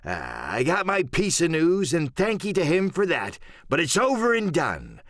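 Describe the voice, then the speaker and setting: Audiobook pirate sea cook: cunning, folksy, swaggering, highly animated delivery, close dry recording. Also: male voice, moderate pace, no echo. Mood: confident, menacing. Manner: rough sailor talk, folksy and scheming. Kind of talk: audiobook character performance.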